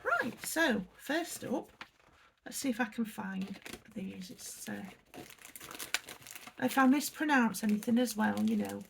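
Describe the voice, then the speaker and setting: A woman's voice: on the high side, lively intonation, slow, gravelly, long pauses, background noise. An English woman chatting casually as she unboxes a snack box, reading the product blurbs aloud and apologising for her pronunciation. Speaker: unboxing vlogger. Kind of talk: casual chat while unboxing.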